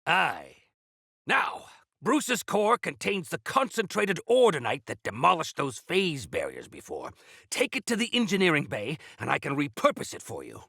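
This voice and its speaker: A creaky, wildly animated old warrior. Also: male voice, a little high, moderate pace, dry recording, clean studio sound, heavily acted. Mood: measured, scheming. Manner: theatrical, grandiose, archaic turns of phrase. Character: defiant, feisty old male exiled clan warrior-engineer. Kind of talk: video game character acting.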